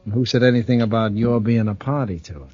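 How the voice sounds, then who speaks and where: An actor playing a sly, wheedling American ex-convict blackmailer in an old-time radio drama, slangy and falsely sweet with menace underneath. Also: male voice, mid-range voice, fast talker, darkish tone, no room sound, dull audio, soft background music, close to an everyday voice. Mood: menacing. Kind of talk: 1940s radio drama acting.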